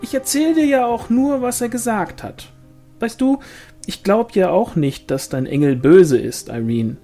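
A man's voice: sits high, a little slow, mellow, faint music behind, voice pushed up. A man voicing a gossipy, insistent village woman in an audiobook story, eager and chatty. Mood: soothing, insistent. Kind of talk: audiobook character dialogue.

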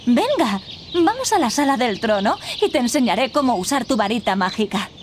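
An actress playing a kindly, encouraging fairy guide who gives patient instruction in a video game. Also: female voice, fairly high pitch, sing-song, a little fast, a little hiss or hum. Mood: instructive. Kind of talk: scripted game tutorial dialogue.

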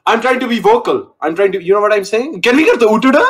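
A young man on a video call through a gaming headset, joking and boasting in a loud, cocky way. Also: high-pitched, lively intonation, quick pace. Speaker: online date contestant. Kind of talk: casual video call banter.

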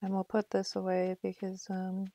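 A woman's voice: mid-range voice, monotone, normal speed, mellow, no echo. A woman chatting casually on a live game stream, thinking aloud in a self-deprecating way.

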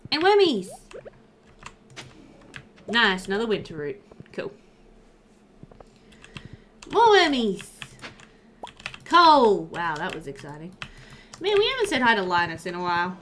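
Young woman doing a chatty game commentary, giggly, normal pitch, noisy background w clicks. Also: huge pitch swings, measured pace, long pauses, fairly bright tone. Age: young adult. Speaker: video game streamer. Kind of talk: casual let's play commentary.

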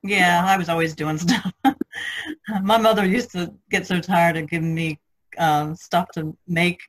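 A middle-aged woman with a husky, gravelly voice chatting casually and telling personal anecdotes, quick to laugh. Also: fairly low pitch, fairly even intonation, low-quality recording. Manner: laughs readily. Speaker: artist on a podcast panel. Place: online video conference call.

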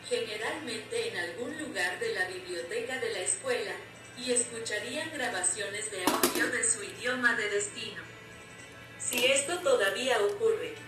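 A woman's voice: fairly high pitch, recorded from a distance. A woman giving an opinionated, wry voice-over about learning languages. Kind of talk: scripted voice-over commentary. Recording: roomy and off-mic with loud music.

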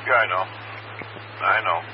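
A man's voice: low-pitched, a little slow. A man talking informally and offhandedly as a police radio operator over a scanner. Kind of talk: casual police radio talk. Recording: very noisy muffled police scanner.

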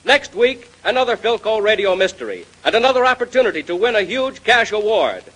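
A man announcing in 1930s radio style, giving a scripted pitch in an emphatic, urging manner. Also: very animated, brisk, fairly bright tone, husky, poor audio.

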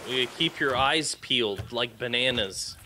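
A man's voice: medium pitch, measured pace. A man joking around casually with a co-player while playing a video game. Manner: goofy, joking. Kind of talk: casual gameplay banter with a co-player. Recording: clear game noise behind voice. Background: video game sound effects.

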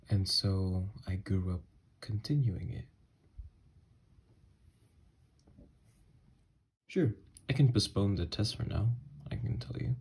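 Male actor as obsessed mad scientist captor, very husky and breathy, mellow low register, tender yet menacing, ASMR horror roleplay. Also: exaggerated voice. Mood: tender, hesitant. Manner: coaxing, gentle but menacing, halting. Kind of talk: ASMR horror roleplay monologue, partly whispered.

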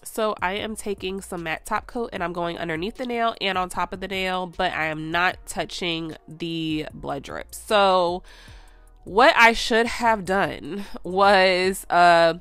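A hoarse, stuffed-up woman rambling chattily through a casual nail art tutorial at home. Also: on the low side, slow, a bit bright, faint music behind. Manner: chatty and rambling, full of "like" and "you guys".

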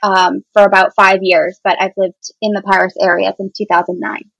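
A woman's voice: mid-range voice, level tone, moderate pace, creaky, a bit bright, no echo, highs cut off. An American woman in her thirties, a podcast guest telling personal stories in an enthusiastic, informal way. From Boston area, United States. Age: 30s. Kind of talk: casual personal storytelling.

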